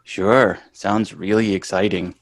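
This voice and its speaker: A man's voice: on the low side, steady tone, slow pace, no echo. A man playing a reluctant, wisecracking young son in a sitcom table read. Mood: grudging, sarcastic. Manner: sarcastic, dry wisecracks.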